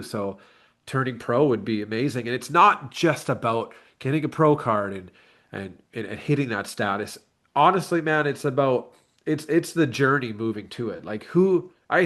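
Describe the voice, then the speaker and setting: A man in his late thirties speaks as a guest in a relaxed interview. His pitch is middling, and he talks in a candid, reflective, earnest way, like an athlete and coach chatting informally. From Canada. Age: late 30s. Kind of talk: casual interview chat.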